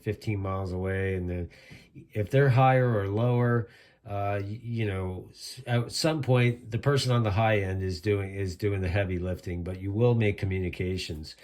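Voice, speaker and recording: A man with a fairly low voice talks through a piece of gear in a casual demonstration. He rambles along with a hobbyist's enthusiasm, reviewing it as he goes. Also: a little mellow.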